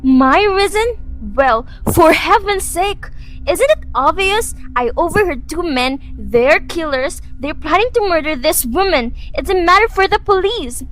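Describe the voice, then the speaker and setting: A high-voiced, anxious and demanding lady played by a young woman in a suspense radio drama. She is imperious and easily exasperated, pressing her point insistently. Music plays behind her. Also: lively intonation, measured pace, fairly bright tone, heavily acted, poor audio. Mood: indignant. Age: teenager. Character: anxious, demanding, indignant wealthy married woman.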